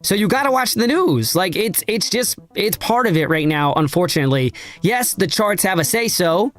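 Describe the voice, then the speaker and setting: A man hosting a casual crypto trading livestream, slangy and hyped, his voice raspy and hoarse from a cold. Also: sits high, animated, moderate pace, faint music behind. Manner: slangy, hyped, addresses chat directly. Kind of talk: casual livestream market talk.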